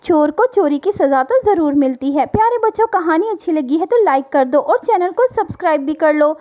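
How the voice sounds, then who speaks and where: Casting: female storyteller for children's tales, high-pitched voice. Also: lively intonation, brisk, mellow.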